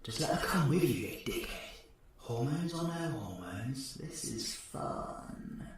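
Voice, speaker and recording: Man acting a husky, cocky British supervillain. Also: mid-range voice, lively intonation, slow pace, heavily acted. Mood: teasing, musing. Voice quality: husky, breathy. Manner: sardonic, slangy, swearing, often dropping to breathy whispers. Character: cocky, menacing, flirtatious male supervillain antihero. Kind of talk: scripted audio roleplay, ASMR drama.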